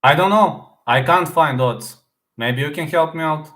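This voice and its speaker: A man's voice: fairly high pitch, unhurried, fairly bright tone. Chatty young man in a roomy echo. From Serbia. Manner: chatty, repeats phrases and answers chat questions. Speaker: livestream host. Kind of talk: casual livestream chat with viewers.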